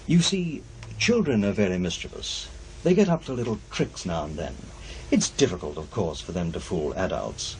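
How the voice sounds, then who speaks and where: Courteous academic investigator for radio play: earnest, formal, slightly low voice with expressive swings, faint noise behind. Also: male voice, normal speed, low-quality recording. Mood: earnest explaining. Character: polite, earnest male professor investigating strange happenings. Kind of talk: naturalistic radio drama acting.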